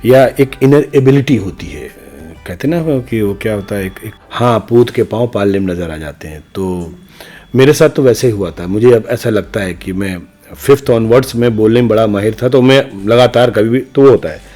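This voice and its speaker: A man's voice: fairly low pitch, moderate pace, mellow, soft background music. Emphatic middle-aged man, rhetorical speaker. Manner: emphatic, rhetorical, speaks in repeated parallel phrases. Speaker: interview guest, senior news journalist. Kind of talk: podcast interview, reflective opinionated talk.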